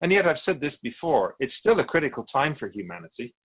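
Male actor as wise otherworldly spirit, husky voice, lively swooping pitch, gentle, cryptic, courteous, radio drama. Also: narrow, tinny audio. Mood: concerned. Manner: measured, philosophical, courteous.

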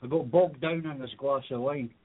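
A man's voice: medium pitch, normal speed, slightly rough. A man with a British accent calling a radio show for casual, joking chat. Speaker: caller. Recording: tinny phone line.

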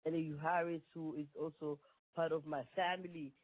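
A woman's voice: low-pitched, level tone, brisk, no echo. A woman from Kenya with a Kenyan accent, a performing artist talking earnestly in a phone interview, rambling with many restarts. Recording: tinny dry phone line.

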